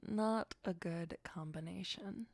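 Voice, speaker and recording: A possessive vampire girlfriend, acted by a woman, murmurs in an intimate ASMR roleplay. Her voice is breathy and soft, mostly whispered, and she takes her time. She sounds playful and doting, with a dark devotion, recorded very close and dry. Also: on the low side, slow pace, no echo, full character voice. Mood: playful, wry.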